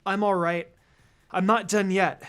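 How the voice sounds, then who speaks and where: A man's voice: high-pitched, little pitch movement, measured pace, fairly bright tone, clear, resonant tone, voice pushed up. A man voicing an earnest, determined and emotional young female ninja in an anime fan story. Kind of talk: first-person anime fan fiction acting.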